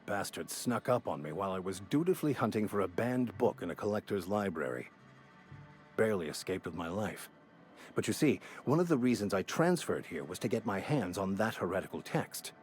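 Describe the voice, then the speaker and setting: Actor as a low, measured, bookish gentleman. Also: animated, lightly acted. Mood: courteous, wry. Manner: measured, formal, wordy. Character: genteel, bookish man, courteous schemer. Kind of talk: video game character dialogue. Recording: music behind the voice.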